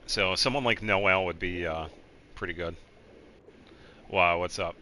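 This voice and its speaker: A man giving casual video game commentary as he guides another player, explaining things in a halting, meandering way. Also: low voice, pushed and bright, slight background noise.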